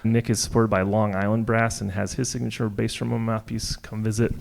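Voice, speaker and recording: An American man co-hosting a live podcast interview, chatty and joking with quick asides, in a jazz club. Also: on the low side, flat delivery, creaky, darkish tone. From United States. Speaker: podcast co-host.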